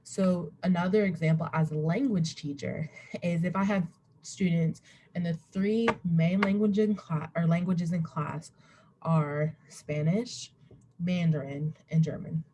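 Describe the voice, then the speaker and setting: Mellow-voiced young woman presenting informally. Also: fairly low pitch, fairly even intonation, a little slow. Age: young adult. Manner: rambling, self-interrupting, chuckles at herself. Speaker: student presenter. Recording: webcam recording with faint background noise.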